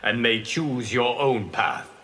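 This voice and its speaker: A man voicing a calm, wise god, measured and dignified, in a fighting game with noisy sound. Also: medium pitch, lightly acted. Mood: measured counsel. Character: calm, wise, lofty fire god advisor. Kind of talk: fighting game character acting.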